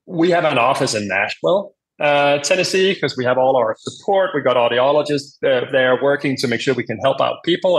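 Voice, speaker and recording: A man's voice: medium pitch, a bit bright, low-quality recording. A man, talking in an emphatic, informal way and often checking in with "right?" as he explains things. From Denmark. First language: Danish. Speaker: podcast guest, hearing aid company executive. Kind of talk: casual conversational explaining.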